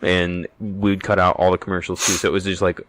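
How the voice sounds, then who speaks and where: Over clearly noisy audio with some clicks, a man in his thirties speaks in a lowish voice. He is a podcast co-host, trading casual banter with a wry, teasing manner. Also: flat delivery, fairly quick.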